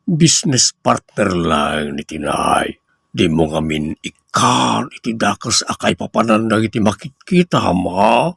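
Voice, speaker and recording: A man with a Filipino accent playing an evasive, placating cheating husband in a radio comedy drama, in a husky voice. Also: fairly low pitch, animated, measured pace, a bit bright, dry recording, studio quality, exaggerated voice. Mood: defensive, placating.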